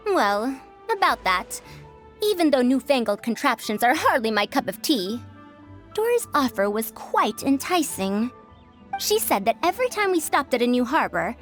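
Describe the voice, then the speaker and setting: High, lively bookish young woman. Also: moderate pace, soft background music. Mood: cheerful, confiding. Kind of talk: video game voice acting.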